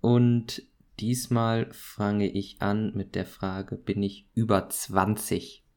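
A man speaking, joking around during a guessing game and giving quick, short answers. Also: on the low side, fairly even intonation, a little mellow. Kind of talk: casual guessing game chat.

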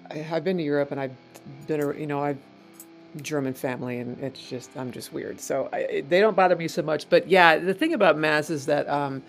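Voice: medium pitch, fairly quick, creaky. An eager speaker with a mid-range voice talks about films, laughing a lot and stammering as they restart sentences. Faint music runs in the background. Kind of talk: casual chat about TV and film.

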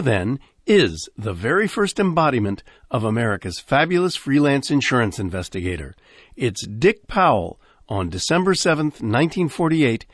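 A man's voice: medium pitch, no echo, studio quality. A man presenting classic radio programs in scripted narration, as a host. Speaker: host introducing old radio shows.

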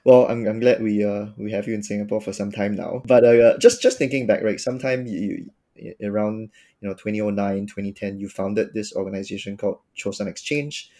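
Upbeat low-voiced podcast host. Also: male voice, flat delivery, brisk, dark tone. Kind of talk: scripted podcast intro then interview.

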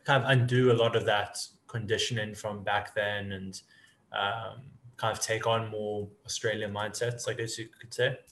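A man's voice: on the low side, little pitch movement, moderate pace, a little hiss or hum. A man from Africa, hosting an interview and asking long, rambling questions. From South Africa. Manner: rambling questions, soft affirmations. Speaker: podcast host. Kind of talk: casual interview. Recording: roomy echo.

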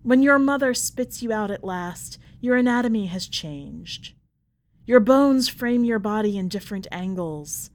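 A woman reading a literary short story aloud in a measured, deliberate way. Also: medium pitch, lively intonation. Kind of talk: scripted literary narration.

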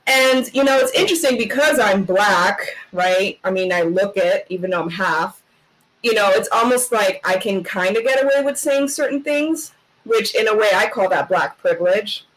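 A woman's voice: medium pitch, moderate pace, a bit bright, rough recording. A woman raised in the Netherlands, hosting a podcast in casual interview chat, earnest and full of fillers like "um" and "literally". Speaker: podcast host.

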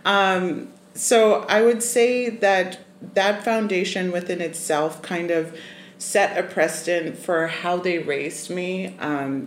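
A young adult guest from Zimbabwe, telling a personal story in a thoughtful, measured way with many pauses and ums. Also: medium pitch. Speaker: podcast guest. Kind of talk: casual interview storytelling. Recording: roomy echoey room, faint noise.

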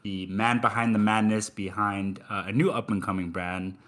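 A man's voice: fairly low pitch, fairly even intonation, normal speed, a bit bright. A young man hosting a podcast in casual interview chat, laid-back, swearing freely and padding with many ums. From Texas. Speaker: podcast host.

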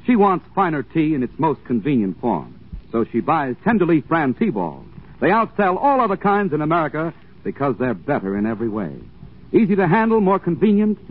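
A man announcing a scripted 1940s radio advertisement as a polished sales pitch. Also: breathy edge. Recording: tinny, thin 1940s radio broadcast.

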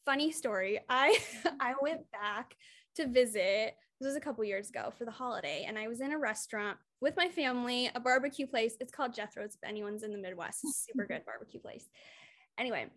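A young woman from Iowa, co-hosting a podcast and telling stories in an animated, casual way. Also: on the high side, fairly quick. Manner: animated storyteller, acts out quotes. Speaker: podcast co-host. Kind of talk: casual chat.

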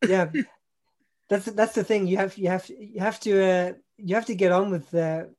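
A man's voice: sits high, normal speed. A man from England, a singer-songwriter guest, chatting casually from home with lots of ums and easy laughter. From Newcastle upon Tyne, England. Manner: relaxed, laughs often, many ums and restarts. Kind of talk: casual interview chat.

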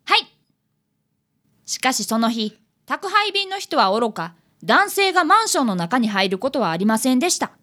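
A woman's voice: high voice, very animated, moderate pace, sharp tone, full character voice. A woman voicing an earnest, polite fifth-grade boy, an apprentice detective who also narrates a radio drama. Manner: polite, eager, clearly enunciated.

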